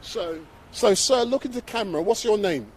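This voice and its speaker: A man's voice: high voice, expressive, darkish tone. A man live-streaming from a city street, interviewing people and cutting in with prompts. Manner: brisk, interrupting, prompting the guest. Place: outdoors on a city street outside a court. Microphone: handheld phone livestream.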